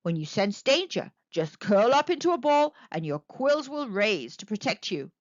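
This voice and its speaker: A kind male owl from a children's storybook, played by a woman reading aloud, reassuring and encouraging. The delivery is lively and melodic, with a dry, slightly muffled recording. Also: medium pitch, moderate pace, no room sound, close to an everyday voice. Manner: encouraging, explanatory.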